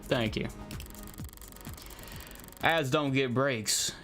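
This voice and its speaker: A man's voice: medium pitch, very slow, a bit bright, soft background music. A man playing a wry, joking detective in a visual novel game, with a dry, sardonic manner. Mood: wry, teasing. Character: wry, joking counselor-detective arriving at work.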